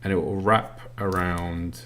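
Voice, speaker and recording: A British man casually walking through an unboxing, talking as he handles the items with lots of ums and pauses. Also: deep voice, slight background noise. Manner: relaxed, filler-heavy, talks while handling items. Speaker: product reviewer.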